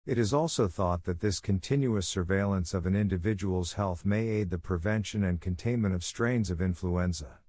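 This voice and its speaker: A man with a low-set voice narrates scripted exam practice text. His delivery is formal and measured. The sound is dry, with hardly any room reflection. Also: darkish tone, no echo. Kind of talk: scripted read-aloud narration.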